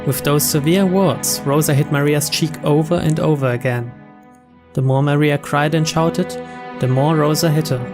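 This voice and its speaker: Higher-voiced man narrating a story over music. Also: steady tone, moderate pace. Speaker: let's player reading a visual novel aloud. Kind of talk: scripted story narration read aloud.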